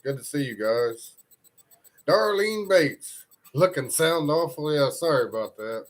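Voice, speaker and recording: A man's voice: a little high, lively intonation, measured pace. Congested man chatting on a live stream. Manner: rambling, loose and informal. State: congested from a cold. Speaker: live stream news host. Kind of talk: casual live stream chat, reading comments.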